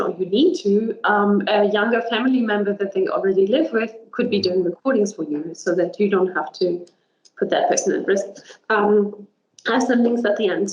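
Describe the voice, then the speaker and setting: German-native woman lecturing over a call. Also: medium pitch. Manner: thoughtful, many ums and tag "right?". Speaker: linguist. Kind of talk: webinar talk. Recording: roomy, dull video call audio.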